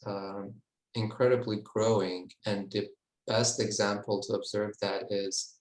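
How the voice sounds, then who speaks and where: A man speaking in a panel discussion, measured and pausing with fillers. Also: fairly low pitch, reverberant, poor audio. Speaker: assistant professor.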